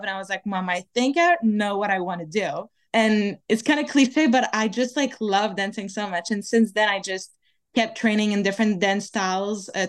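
A young woman from Quebec whose first language is French, speaking English with a Quebec French accent as an interview guest, chatting casually with lots of "like" and "kinda". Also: medium pitch. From Quebec, Canada. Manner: chatty, many "like" and "kinda" fillers. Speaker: guest, dancer.

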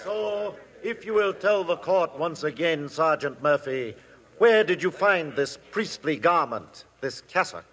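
An actor playing a stern prosecutor who questions a witness in a formal, deliberate way. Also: male voice, fairly high pitch, animated, fairly bright tone, slightly rough, big-room sound, heavily acted.

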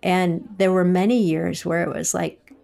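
A woman in her forties hosting a faith-based interview, measured and reflective in how she speaks. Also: lowish voice, fairly even intonation, vocal fry, warm tone. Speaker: host.

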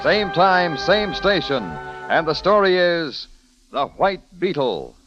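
A man's voice: fairly high pitch, sing-song, slow. A man working as a 1940s-style radio announcer, reading ads and credits with polished, emphatic salesmanship. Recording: muffled old radio broadcast, music behind. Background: music.

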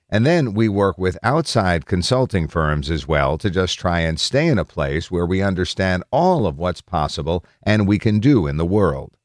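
A man's voice: low-pitched, no room sound, clean studio sound. A man narrating a scripted news article as a reader.

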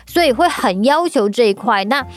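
A woman's voice: fairly high pitch, animated, normal speed, soft background music. Taiwanese woman hosting a casual chat. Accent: Taiwanese Mandarin. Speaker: podcast host. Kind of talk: casual conversational interviewing.

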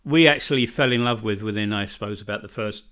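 A man's voice: lowish voice, quick pace, fairly bright tone, smooth. A man from Britain co-hosting a casual podcast chat at home, rambling and often restarting his sentences. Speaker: podcast co-host. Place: home in northern Bosnia.

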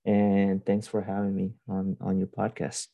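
A man's voice: low-pitched, monotone, a little slow, vocal fry, no room sound, narrow, tinny audio. A young man from Honduras, telling his story as a podcast guest, hesitant with many restarts and fillers. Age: young adult. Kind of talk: casual interview storytelling.

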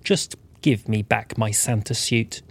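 A man's voice: fairly low pitch, measured pace, gravelly, slightly rough, exaggerated voice. A man voicing a desperate former Santa turned thief, pleading theatrically in a rhyming audio comedy. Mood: pleading, rueful. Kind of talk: rhyming comic audio drama.

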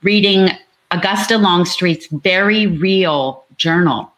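A woman with a slightly low voice speaks as a novelist being interviewed. She is earnest and builds her points like stories, repeating phrases for emphasis. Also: slow, fairly bright tone, poor audio. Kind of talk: animated book talk on an online panel.

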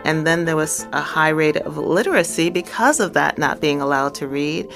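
A woman with a fairly low voice talks about her life and work in a measured, thoughtful way. She laughs softly between phrases. Faint music sits under her voice. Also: vocal fry. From South Carolina, US. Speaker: guest author. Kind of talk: reflective interview and talk excerpts.

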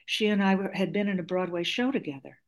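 A woman's voice: medium pitch, fast talker. Woman in her 70s, Greek first language, articulate and reflective interview guest. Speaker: guest author. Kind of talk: interview storytelling.

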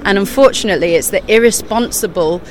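A woman talking as an interview guest at a busy exhibition show, animated and quick, often laughing mid-sentence. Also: medium pitch, slight background noise. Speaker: guest, children's author and eco-journalist. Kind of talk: casual interview chat.

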